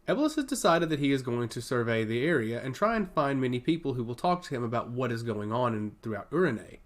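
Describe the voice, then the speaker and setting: Man narrating with a lively, swooping pitch. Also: fast. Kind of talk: scripted fantasy audio story narration.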